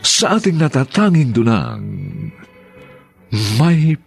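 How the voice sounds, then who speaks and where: A man announcing a radio drama in a theatrical, drawn-out scripted style. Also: a little high, huge pitch swings, creaky. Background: music.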